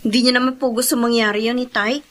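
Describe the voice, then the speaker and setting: An actress playing a caring, gentle young elder sister in a Filipino radio drama. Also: female voice, fairly high pitch, fairly even intonation, fast talker, natural voice. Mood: earnest.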